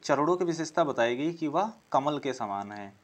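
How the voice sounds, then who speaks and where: A man's voice: on the high side, lively intonation, normal speed. A man teaching an exam-prep class, working through questions, asking them aloud, answering them and repeating key phrases as he explains.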